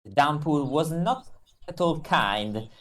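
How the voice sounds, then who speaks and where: Visual novel narrator played by a woman: dry, exasperated roommate, very low, swooping delivery. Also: expressive, takes it slowly. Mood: narrating, dry.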